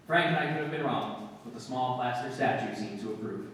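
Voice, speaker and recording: A man's voice: medium pitch, fairly quick, a bit bright, a little hiss or hum, lightly acted. A man playing a terse police detective, narrating in clipped, understated 1950s radio drama style. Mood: terse, deadpan. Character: terse, matter-of-fact male police detective narrating a case. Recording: echoey hall from far off, music behind. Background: music.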